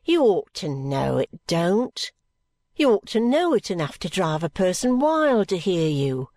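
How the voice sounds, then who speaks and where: Woman acting a scolding, lively soldier's wife. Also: fairly high pitch, normal speed, no echo. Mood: exasperated. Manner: indignant, emphatic, colloquial. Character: hearty, scolding, wholesome soldier's wife, sharp-tongued but fond. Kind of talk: storybook character dialogue.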